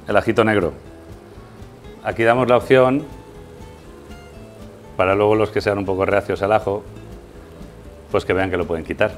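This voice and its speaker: Middle-aged Spanish chef explaining a recipe. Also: male voice, lowish voice, fairly even intonation, moderate pace, long pauses, soft background music. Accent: Spain. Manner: explanatory, instructional. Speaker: guest chef. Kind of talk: informal cooking demonstration. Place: indoors in a tiled kitchen set.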